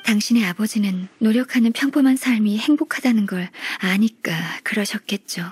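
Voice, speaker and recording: A woman voicing a gentle, earnest young immortal's disciple in a video game, polite, soft-spoken and formal. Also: medium pitch, a little fast, slightly rough, soft background music. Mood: earnest, admonishing.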